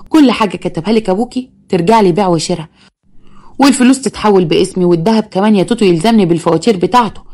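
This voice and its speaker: A woman's voice: medium pitch, a little mellow, lightly acted. A woman with an Egyptian accent voicing a smug, scheming young man who mocks and blackmails his stepmother. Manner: mocking, smug, sweet-talking.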